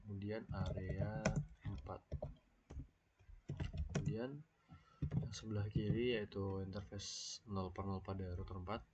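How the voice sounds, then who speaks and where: A young Indonesian man with a cold, talking through a screen-recorded software tutorial in a halting, thinking-aloud way, stopping for fillers while he types. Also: fairly low pitch, steady tone. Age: young adult. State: sick with a cold. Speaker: student. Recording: dull lo-fi mic, faint background noise.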